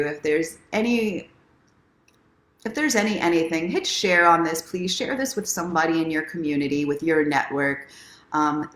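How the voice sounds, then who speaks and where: A woman's voice: medium pitch, fairly bright tone. A woman hosting a casual livestream, encouraging and speaking straight to her viewers like a self-help coach. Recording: roomy, echoing sound.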